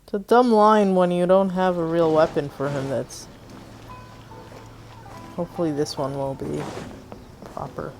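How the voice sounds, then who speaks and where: A woman's voice: on the low side, steady tone, unhurried, creaky, pauses often, a little mellow. A woman giving casual, rambling gameplay commentary, half talking to herself. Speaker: game streamer. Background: faint game music.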